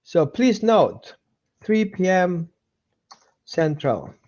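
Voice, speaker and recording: A man's voice: sits high, very animated, very slow, darkish tone, muffled recording. A man with an Austrian accent hosting a casual live dog-training webinar, chatting with viewers, repeating himself and greeting people by name.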